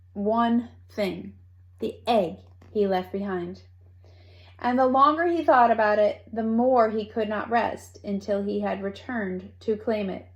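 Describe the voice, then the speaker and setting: A woman reading a children's story aloud in an expressive manner, with playful asides to young listeners. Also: medium pitch, highs cut off. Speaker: storybook reader.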